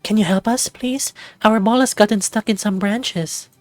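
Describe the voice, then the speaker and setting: Man putting on a high, pleading little girl. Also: normal speed, a little mellow, no echo, soft background music, lightly acted. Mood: pleading, sad.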